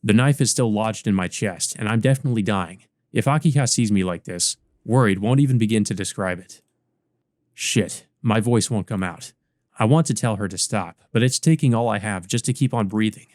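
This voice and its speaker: A man's voice: fairly low pitch, moderate pace, a little mellow, no echo, clean studio sound, natural voice. A man playing a weary, wounded teenage boy who narrates his own visual novel story in the first person. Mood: weary, worried.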